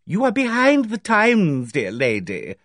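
A man reading aloud, voicing an effusive, fussy older male village gossip who talks in a theatrical, drawn-out way. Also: sits high, huge pitch swings, a bit bright, dry recording, clean studio sound, voice pushed up, full character voice. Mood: gushing.

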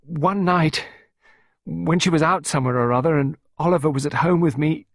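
A man's voice: moderate pace, no echo. A man voicing a distraught young girl in an audiobook, pleading and close to tears, with desperate outbursts. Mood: anguished. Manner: crying, shrill outbursts.

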